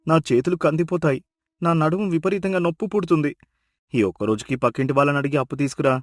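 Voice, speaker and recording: A man playing a lazy, food-loving young village husband with a whiny, exaggerated manner in an animated moral story. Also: on the high side, quick pace, dry recording, studio quality, lightly acted. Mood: whiny pleading. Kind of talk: acted moral story dialogue.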